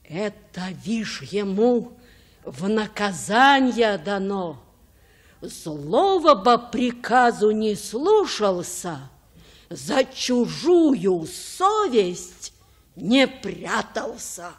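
An actress playing a gentle old grandmother, telling folk tales in a measured, sing-song chant. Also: mid-range voice, big pitch swings, exaggerated voice. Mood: sorrowful, chanting. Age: older. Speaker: storyteller. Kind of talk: folk verse tale, sing-song storytelling.

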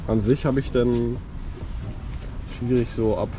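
A man's voice: mid-range voice, steady tone, slow, warm tone. A man chatting in an easygoing, colloquial way as a customer at a flea market. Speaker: flea market buyer filming his visit. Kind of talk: casual chat, haggling. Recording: noisy outdoor street recording.

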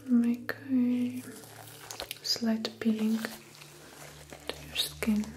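A young woman speaking in a hushed, breathy, whispery voice, in a soft-spoken ASMR medical role play as a dermatologist, with halting phrases. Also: fairly high pitch, flat delivery. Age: young adult. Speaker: ASMR performer playing a dermatologist. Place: small indoor room set up as an office.

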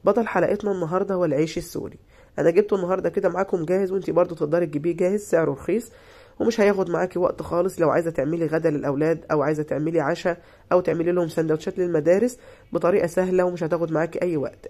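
Hoarse Egyptian woman, rapid cooking voice-over. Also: on the low side, steady tone, mellow. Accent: Egyptian Arabic. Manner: chatty, reassuring, repeats herself. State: hoarse from illness. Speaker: cooking channel host.

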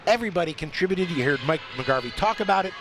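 An older man giving enthusiastic, conversational color commentary on basketball highlights over a broadcast headset. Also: expressive, fast. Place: courtside in a college basketball arena.

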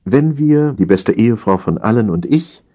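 Low-voiced, very animated male storyteller. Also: normal speed, muffled recording. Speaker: audiobook narrator. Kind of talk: scripted humorous narration.

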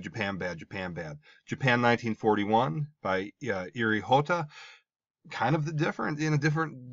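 A man's voice: medium pitch, fairly bright tone, no room sound. A man teaching a social studies class, talking through slides in a conversational, emphatic way with asides and restarts. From American Midwest. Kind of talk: classroom lecture over slides. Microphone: voice-over recorded over slides. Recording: dull dry voice-over.

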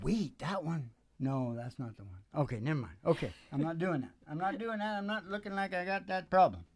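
A man's voice: a little high, animated, fairly quick. A man in his sixties chatting casually between songs at a live show, rambling and teasing, often trailing off mid-thought. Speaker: singer-guitarist hosting a live show. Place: small indoor stage with curtain. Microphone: vocal mic on a stand. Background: acoustic guitar.

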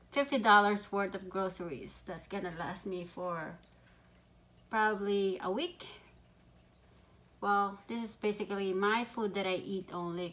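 Slow-talking woman showing groceries at home. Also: medium pitch. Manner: relaxed, unhurried, plain-spoken with pauses. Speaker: vlogger. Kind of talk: casual grocery haul chat. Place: home dining room, indoors. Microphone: camera on table in front of her. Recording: plastic bag crinkling noise. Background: crinkling grocery bags.

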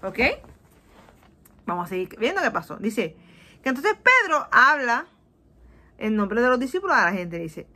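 A woman's voice: fairly high pitch, moderate pace, pauses often. A woman from Puerto Rico in her thirties with a Puerto Rican Spanish accent, teaching a Bible lesson to children over a webcam, acting out voices and slipping English in. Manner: animated, acts out voices of people, asks "¿ok?" often. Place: small indoor room. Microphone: webcam on a video call.

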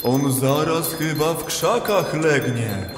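A man's voice: fairly high pitch, animated, slow. An actor playing a friendly male forest sprite in a children's audio play, speaking in a sing-song way. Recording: echoey reverb with music.

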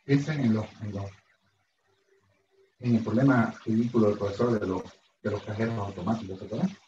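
A man's voice: fairly low pitch, fairly even intonation, a little fast, mellow, slight background noise. Man, middle-aged, university lecturer, chatty, headset mic, echoey room, muffled call audio. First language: Spanish. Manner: chatty, self-deprecating, asks students questions often. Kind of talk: online class lecture. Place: room at a whiteboard, indoors. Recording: roomy, slightly dull video-call audio.

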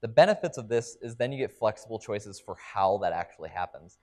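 A man's voice: lowish voice, animated, brisk, darkish tone. A young man giving a conference keynote on a large stage into a headset mic, explaining ideas with frequent ums and restarts. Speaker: keynote speaker.

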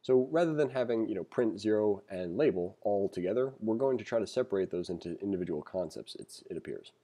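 A man giving a casual read-along programming tutorial, reading aloud and thinking out loud with informal explanatory asides. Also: on the low side, lively intonation, fairly quick. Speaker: programming instructor. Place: screen-recorded voice-over.

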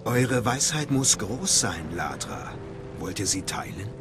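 An actor voicing a sly, ambitious Sith inquisitor in a video game, theatrical and smoothly menacing. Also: male voice, fairly low pitch, big pitch swings, a little slow, noisy background. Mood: probing. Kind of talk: dramatic video game dialogue. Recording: music behind the voice.

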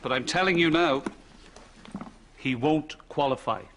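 A man's voice: a little high, measured pace, a bit bright. A man playing a firm, sceptical doctor in a sitcom, clipped and matter-of-fact. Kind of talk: sitcom acting, straight man. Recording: noisy studio sitcom sound.

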